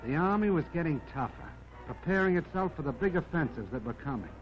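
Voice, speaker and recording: A man's voice: fairly high pitch, animated, quick pace, close to an everyday voice. A man voicing a modest, plucky army jeep that tells its own life story in a folksy, wry 1940s film narration. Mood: determined, modest. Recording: dull vintage 1940s film soundtrack, music behind.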